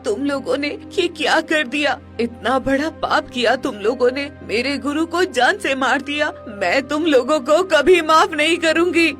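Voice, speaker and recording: A woman's voice: high voice, normal speed, few pauses, fairly bright tone, faint music behind. A woman playing a sage's principled, grieving disciple, firm and earnest, in a Hindi cartoon moral story. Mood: angry, grieving.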